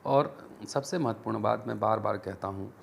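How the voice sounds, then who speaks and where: Deep, gravelly man explaining earnestly. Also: a little hiss or hum. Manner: earnest, deliberate, mixes in English terms. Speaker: teacher and channel host. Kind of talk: explanatory political history talk to viewers.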